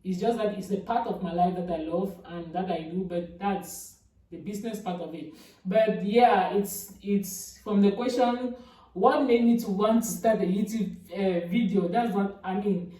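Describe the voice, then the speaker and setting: A woman's voice: mellow, recorded from a distance. Woman chatting in an echoey room. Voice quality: big, deep-sounding voice. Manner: chatty, repeats herself, fillers like "I mean". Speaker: YouTuber. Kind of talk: casual get-to-know-me vlog.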